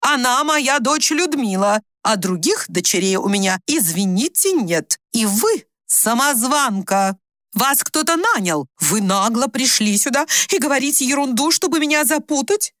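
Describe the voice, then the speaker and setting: A woman's voice: medium pitch, lively intonation, a little slow, hardly any pauses, a bit bright, dry recording, clean studio sound, voice pushed up. A woman voicing an agitated, confused old mother in an audiobook, imperious, insistent and accusing. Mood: indignant, accusing. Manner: insistent, accusing, talks without stopping. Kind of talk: dramatised audiobook reading.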